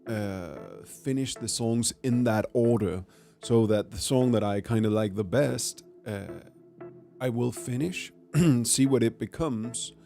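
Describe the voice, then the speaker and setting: Hoarse male musician, gravelly low voice, halting casual stream chat with faint music underneath. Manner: halting, thinking aloud with many pauses and fillers. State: hoarse, recovering from a cold. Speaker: musician livestreaming from his studio. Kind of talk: casual chat to a livestream audience. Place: music studio. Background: his own track playing quietly underneath.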